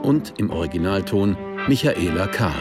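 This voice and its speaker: A man's voice: low voice, expressive, normal speed, loud music behind the voice. A man reading scripted radio drama announcements and credits in a formal way. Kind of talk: scripted narration.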